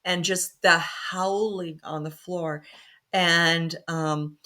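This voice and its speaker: A woman's voice: lowish voice, fairly even intonation, extremely slow, pushed and bright. A woman author, a former pharmacist, talking casually in an interview with many fillers and restarts and easy laughs.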